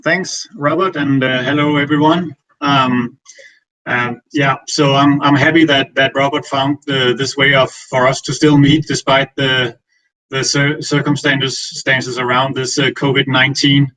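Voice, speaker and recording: Danish-accented man presenting, halting delivery. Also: medium pitch, level tone, pushed and bright, rough recording. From Denmark. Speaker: guest speaker, finance executive. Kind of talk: conference presentation, semi-formal.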